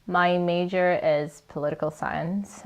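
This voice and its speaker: Low-voiced young Kenyan-born woman. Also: fairly even intonation, unhurried. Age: young adult. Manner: thoughtful, hesitant with restarts. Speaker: college student interviewee. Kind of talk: reflective interview answers.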